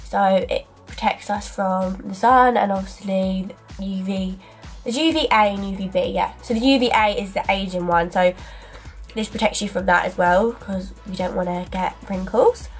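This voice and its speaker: A young woman with a snuffly cold chats her way through a makeup routine, filling pauses with ums and little asides. She is speaking to a camera close in front of her in a small dressing room, with faint music underneath. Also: mid-range voice, steady tone. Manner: chatty, filler-heavy, talks while applying makeup. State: stuffy from a cold. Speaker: beauty vlogger. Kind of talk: casual makeup tutorial. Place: small bedroom dressing area indoors. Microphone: camera in front at arm's length.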